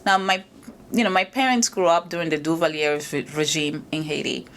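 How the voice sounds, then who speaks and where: A woman's voice: deep voice, steady tone, a little fast, a bit bright. A woman from Venezuela, a guest in an interview, telling stories about her upbringing with frequent restarts. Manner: storytelling, frequent restarts and "you know". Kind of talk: conversational interview.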